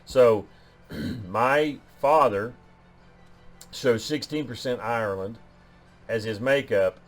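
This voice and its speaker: A man's voice: mid-range voice, slow pace, soft background music. A man hosting a genealogy channel, talking through his DNA numbers in a loose way, often restarting phrases. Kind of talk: explaining DNA results on screen.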